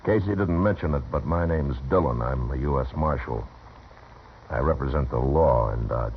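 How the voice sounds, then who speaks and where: Very deep-voiced, stern frontier marshal. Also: male voice, moderate pace, gravelly. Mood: cool, probing. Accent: American. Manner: curt, blunt, dry. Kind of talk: 1950s Western radio drama acting. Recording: dull, noisy vintage radio recording.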